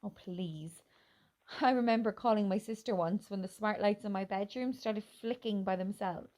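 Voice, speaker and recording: An actress with an Irish accent playing a teasing, sweary, reassuring friend in a naturalistic radio drama. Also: female voice, medium pitch, normal speed, darkish tone, lightly acted. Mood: amused.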